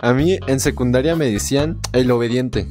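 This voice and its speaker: A man's voice: mid-range voice, normal speed, over a noisy crowd. A young man from Mexico hosting a joking question-and-answer video with a friend. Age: young adult. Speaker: YouTuber host. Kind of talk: casual chat in virtual reality. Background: music.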